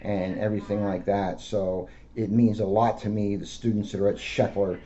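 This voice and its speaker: Dark, mellow-voiced American man, muffled recording. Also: fairly low pitch, little pitch movement, moderate pace. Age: middle-aged. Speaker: school resource police officer reading a children's book. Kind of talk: read-aloud and informal talk to schoolchildren. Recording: dull lo-fi audio with faint noise.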